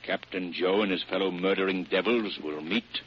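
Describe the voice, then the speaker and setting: A calm and cultured crime-fighting hero, played by a man in old radio drama. His voice is lowish, grave and deliberate. The recording is dull, with plain background noise. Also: natural voice. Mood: grave, composed. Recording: muffled, noisy vintage radio recording.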